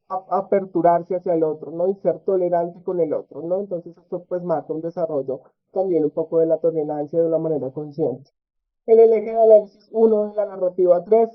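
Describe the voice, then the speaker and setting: A man presenting research at an academic conference, speaking in a measured way with frequent fillers and tag questions. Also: sits high. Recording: tinny phone-like audio.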